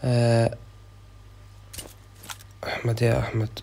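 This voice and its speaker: Young Iraqi gaming host, slightly sick, gravelly mellow voice, faint noise behind. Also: male voice, mid-range voice, completely flat delivery, slow. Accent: Iraqi Arabic. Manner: chatty, loose, thinking aloud. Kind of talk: casual gameplay commentary.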